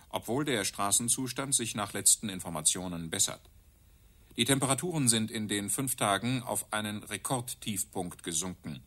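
A radio news announcer in a crime play. His voice is fairly low, and he reads the bulletin soberly and factually. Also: fairly bright tone. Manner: formal, factual.

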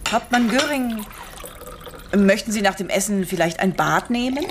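A woman plays an ambitious empress in exile for a radio drama. She is mannered and gracious on top, sharp-tongued and scheming beneath. The scene around her is clearly noisy. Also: mid-range voice, lively intonation, normal speed, close to an everyday voice. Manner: gracious surface, pointed and scheming. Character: ambitious, sharp-tongued wife of deposed emperor. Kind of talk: naturalistic radio drama dialogue.

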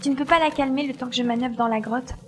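A woman playing a brisk, impatient, resolute female pilot in a French audio drama for young listeners. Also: high voice, background noise, lightly acted. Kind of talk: French audio drama adapted from a youth novel.

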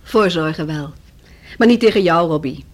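Husky, teasing young fiancée. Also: female voice, low voice, normal speed. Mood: insistent. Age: 30s. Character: spirited thirty-year-old well-to-do fiancée, teasing and insistent. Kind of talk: radio drama acting.

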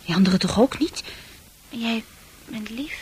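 Breathy, high-voiced teenage girl, hesitant and confiding. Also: unhurried, a little mellow. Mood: shy, tender. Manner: hesitant, repeating words, often dropping to near-whisper. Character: troubled, confiding teenage girl, tender and unsure. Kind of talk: intimate Dutch radio play acting.

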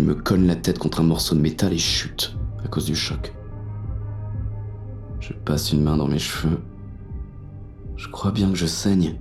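An actor playing a hard-boiled, bitter police inspector who narrates a thriller in terse, intense first person. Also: male voice, a bass voice, gravelly, a little mellow, heavily acted. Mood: disoriented, tense. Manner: gritty, terse, intense delivery.

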